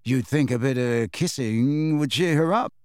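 A man voicing a blunt, excitable teenage boy in an audiobook, mocking and quick to be indignant. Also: mid-range voice, smooth, no room sound, studio quality, lightly acted. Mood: nosy, blunt.

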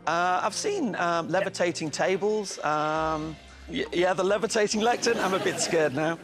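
A man's voice: sits high, moderate pace, music in the background. A man in his forties from North Wales, a quiz contestant, chatty and hesitant with frequent ums. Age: 40s.

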